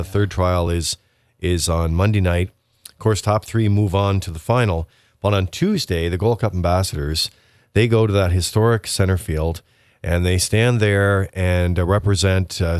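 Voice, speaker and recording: A man's voice: low-pitched, darkish tone, no room sound, studio quality. A man from Prince Edward Island, a racetrack representative, talking casually as a radio guest with lots of fillers and restarts. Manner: rambling, many fillers and restarts.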